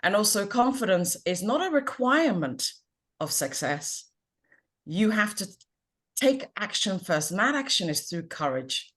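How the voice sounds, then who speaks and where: A woman's voice: mid-range voice, very animated, measured pace, a bit bright. A woman from Ghana, a confidence coach, speaking earnestly and reflectively as a guest on a video call. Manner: reflective, earnest and upbeat, laughs at herself. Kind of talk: guest on an online panel chat.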